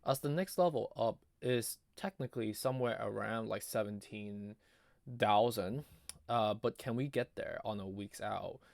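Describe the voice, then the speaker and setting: A man giving casual, off-the-cuff chart commentary in a halting, conversational way, his voice strained as if giving out. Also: fairly low pitch, creaky, a little mellow, dry recording, clean studio sound. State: losing his voice, often clearing throat. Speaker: market analysis video host.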